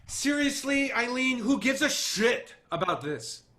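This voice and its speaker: A man's voice: sing-song, measured pace, sharp tone, heavily acted. An actor playing a brash, volatile, profane male TV producer in a screenplay table read, glib one moment and bitter the next. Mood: frustrated. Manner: glib pitching, swearing when frustrated.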